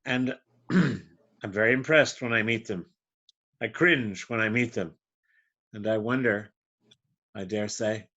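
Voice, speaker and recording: A middle-aged Canadian man giving a measured, wry impromptu speech at a club meeting. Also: fairly low pitch, a bit bright, muffled recording. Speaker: club member answering a table topic.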